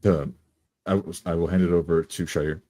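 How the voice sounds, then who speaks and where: A man playing a tabletop game, roleplaying and narrating his character's actions in a deliberate way. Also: low-pitched, steady tone, mellow, dry recording.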